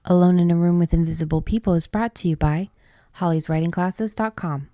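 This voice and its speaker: A woman's voice: fairly low pitch, steady tone, brisk, mellow, no echo, clean studio sound. A woman hosting a podcast, giving informal announcements to listeners. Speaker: podcast host.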